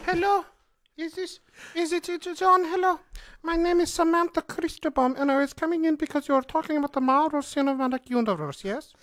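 A man's voice: a little fast, fairly bright tone, dry recording. A man voicing a pompous, indignant rich woman from Spain in an improvised comedy sketch. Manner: pompous, indignant, high put-on voice. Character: haughty rich woman from Spain, touchy about her name.

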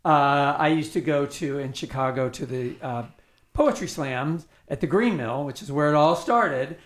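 Woman host, lowish voice, conversational, playful, goes off on tangents. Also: moderate pace. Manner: chatty, teasing, prone to tangents. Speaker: radio host. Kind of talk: casual interview.

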